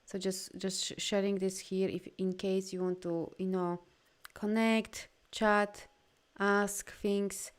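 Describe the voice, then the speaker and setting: Woman life coach on a live stream, not native english, smooth clear voice, super encouraging and gushy. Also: medium pitch, level tone, takes it slowly. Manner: effusive, encouraging, often reading out comments. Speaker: energy healing coach hosting a live session. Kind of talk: informal live coaching talk to viewers.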